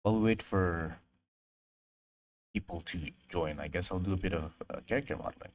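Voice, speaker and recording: Low-voiced young man streaming, mumbling. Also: normal speed. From Indonesia. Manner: mumbling to himself, trailing off. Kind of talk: casual livestream chat while modeling.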